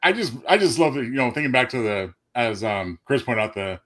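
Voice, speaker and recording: A man's voice: medium pitch, sing-song, a little fast, a bit bright. A middle-aged man co-hosting a casual talk show with a jokey, wry manner. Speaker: co-host of a live panel show. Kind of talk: casual chat.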